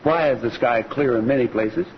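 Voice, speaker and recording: Man playing a higher-voiced, kindly radio cowboy. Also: normal speed, a little hiss or hum, lightly acted. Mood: reverent, reflective. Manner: folksy, reflective, poetic. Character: kindly, reflective singing cowboy on the prairie. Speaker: lead actor and singing cowboy. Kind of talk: old-time radio western acting and poetic narration. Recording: muffled old radio recording with music.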